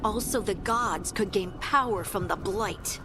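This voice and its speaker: A woman's voice: medium pitch, a little slow, over music, close to an everyday voice. A woman playing an earnest, wary scout in a fantasy game, speaking plainly and seriously.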